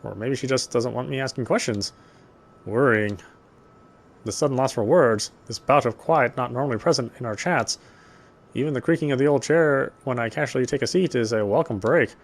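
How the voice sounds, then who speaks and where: A man with a mid-pitched voice reads story narration from a visual novel. He takes it in a measured, literary manner, occasionally tripping on a phrase and going back over it. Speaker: let's player reading game text.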